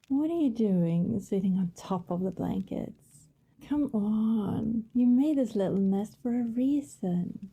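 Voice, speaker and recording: A woman playing a coy, affectionate vampire neighbor in an intimate ASMR roleplay, speaking gently and hesitantly, often dropping to a whisper. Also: medium pitch, expressive, slow pace, husky, heavily acted. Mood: playful, inviting.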